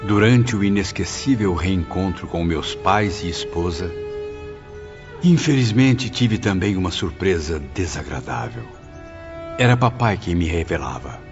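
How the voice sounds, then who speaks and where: Male actor as memoir narrator, low pitch, solemn, literary, measured, faint music, dull recording. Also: expressive. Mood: reflective. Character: reflective man narrating his afterlife memoir. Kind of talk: scripted first-person audiobook narration.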